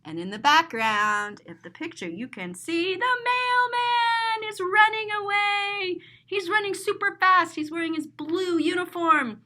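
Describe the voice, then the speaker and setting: A woman reading a picture book aloud to young children in an expressive, playful way, pausing to explain things simply. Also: extremely high pitch. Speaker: storyteller and teacher.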